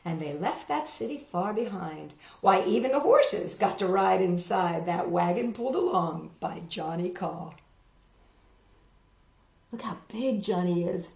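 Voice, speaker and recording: A woman reading picture books aloud to children, expressive and exclaiming, lingering on words and adding chatty asides. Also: on the low side, measured pace, vocal fry. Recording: dull, echoey room recording.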